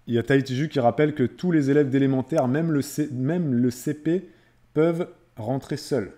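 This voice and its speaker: A man's voice: medium pitch, normal speed, mellow. A man chatting casually as a live streamer, replying to viewers in a conversational way and repeating phrases for emphasis.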